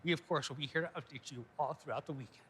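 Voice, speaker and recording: Bright, high-pitched American weatherman. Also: fast, slight background noise. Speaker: TV weather presenter. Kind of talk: casual on-air chat and weather presenting.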